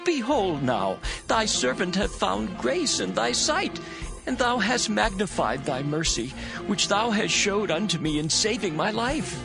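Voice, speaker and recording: A man's voice: high voice, measured pace, heavily acted. A man playing Lot, humble and pleading. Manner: pleading, lively intonation. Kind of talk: dramatized Bible reading. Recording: voice over clear music.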